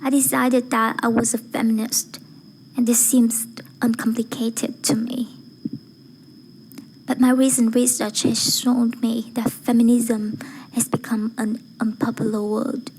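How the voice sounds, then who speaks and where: A woman with a fairly high, breathy voice recites a formal speech. Her delivery is measured and deliberate, with very little melodic movement. The tone is soft-edged and mellow, over faint background noise. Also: little pitch movement, low-quality recording. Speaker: amateur voice-acting student reciting a speech.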